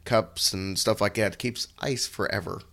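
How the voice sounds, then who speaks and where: A man co-hosting a podcast, hoarse and raspy from a cold, talking in an earnest, rambling way. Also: on the low side, normal speed, pushed and bright. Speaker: podcast co-host. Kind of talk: casual chat.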